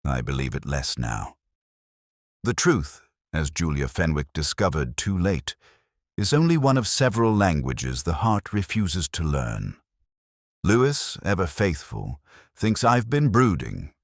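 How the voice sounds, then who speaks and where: Man playing a very deep, brooding detective inspector. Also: no room sound, studio quality, exaggerated voice. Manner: literary, measured, solemn. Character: melancholy, brooding older male English police inspector, reflective. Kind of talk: scripted first-person radio drama monologue.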